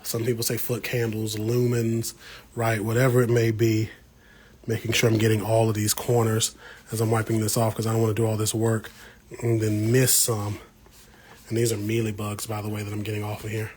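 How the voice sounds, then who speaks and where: A man's voice: on the low side, monotone, moderate pace, a little mellow. An American man chatting casually on a livestream as he works, talking to viewers in a folksy, slangy way. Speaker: plant vlogger hosting a livestream. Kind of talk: casual livestream chat while working. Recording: clear background noise with room ambience.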